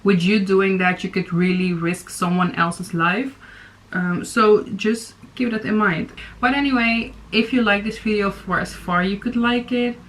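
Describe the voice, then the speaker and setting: A woman's voice: medium pitch, fairly even intonation, measured pace, fairly bright tone. A young woman hosting a reaction video, talking casually with many fillers and restarts. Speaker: YouTube reaction host.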